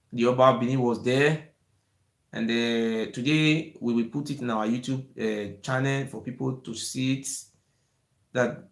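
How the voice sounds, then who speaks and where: A man talks with rising passion in an echoey room. He keeps repeating himself, his voice sits at an ordinary pitch, and it often climbs toward a shout. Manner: excited, rising to near-shouting, repetitive "you see". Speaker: channel host. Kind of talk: impassioned monologue.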